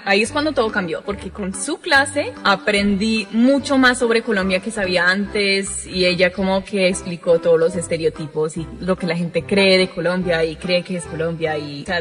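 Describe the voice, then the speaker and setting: A woman's voice: medium pitch, a bit bright. Woman, American accent, English native, chatty and gushing, reverb with faint music behind. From Chicago, United States. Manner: chatty and gushing, with many fillers. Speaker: tourist. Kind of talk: casual testimonial.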